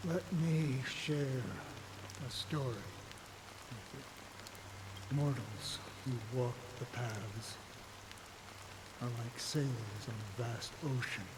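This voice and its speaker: Tired hopeless game character, really slow n grave, clear rain noise all behind him. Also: male voice, medium pitch. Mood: grave, reflective. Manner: halting, grave, resigned. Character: weary, disillusioned man telling solemn parables. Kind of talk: dramatic video game voice acting. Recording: steady rain noise over voice.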